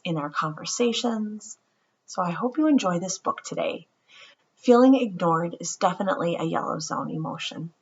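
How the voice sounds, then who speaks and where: A woman reading a picture book aloud to young children in an explanatory, expressive teacher's style. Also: on the low side, husky, highs cut off. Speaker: read-aloud host, teacher.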